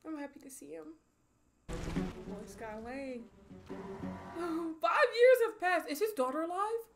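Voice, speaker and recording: A young American woman giving a casual, emotional movie reaction in an expressive, slangy way, full of exclamations. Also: very high voice, a little slow, over music. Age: young adult. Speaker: movie reaction video host. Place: small home streaming room, indoors. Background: film soundtrack and dialogue.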